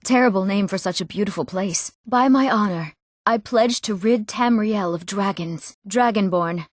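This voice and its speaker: Actress as young fantasy game heroine, eager, earnest, clearly enunciated, medium pitch, close dry sound. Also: female voice, normal speed, no echo, close to an everyday voice. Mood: earnest, friendly. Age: young adult. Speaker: video game voice actor. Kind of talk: scripted fantasy game dialogue.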